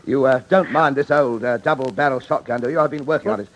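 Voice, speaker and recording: A man playing a brusque, coolly menacing middle-aged gentleman father in a suspense radio drama, clipped and insistent. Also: medium pitch, fast, slight background noise, lightly acted. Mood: coolly threatening. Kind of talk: old-time suspense radio drama acting.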